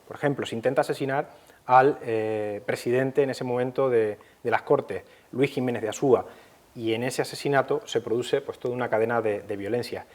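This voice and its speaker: A man from gives an unscripted historical explanation at a middling pitch. His delivery is measured and didactic. He often hesitates and repeats a word before carrying on. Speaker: history commentator.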